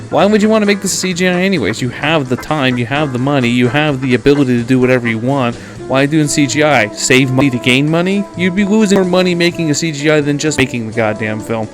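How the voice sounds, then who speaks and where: A man's voice: mid-range voice, animated, a little fast, music in the background. A blunt, emphatic man with a Canadian accent giving opinionated film commentary as a podcast host, sometimes swearing.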